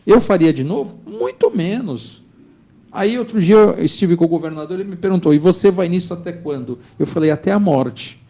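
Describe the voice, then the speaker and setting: A man's voice: high-pitched, lively intonation, a little fast, mellow. A man in his fifties speaking as a business executive guest in a studio discussion. He talks in an emphatic, insistent way and keeps checking that the listener follows. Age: 50s.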